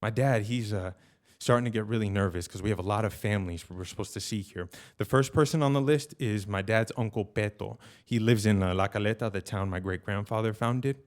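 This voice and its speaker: A middle-aged man telling a personal story live on stage, colloquial and animated, with slangy asides. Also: fairly low pitch, a little fast.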